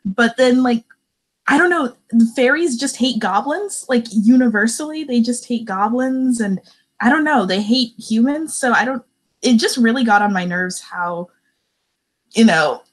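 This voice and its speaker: A woman's voice: on the high side, animated, measured pace. A woman talking casually as a panelist in a book discussion.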